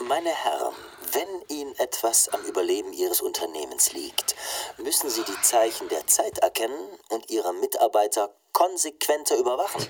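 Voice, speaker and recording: An actor playing a self-assured corporate boss making an oratorical business speech in a radio play. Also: male voice, fairly low pitch, very animated, normal speed, far from the mic, background noise. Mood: commanding. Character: forceful male corporate boss pitching himself to executives.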